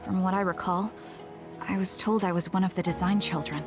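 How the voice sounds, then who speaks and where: An actress playing a composed, blunt young soldier in an English game dub, with a measured, matter-of-fact delivery. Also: female voice, on the low side, fairly even intonation, over music, close to an everyday voice. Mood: calm, frank.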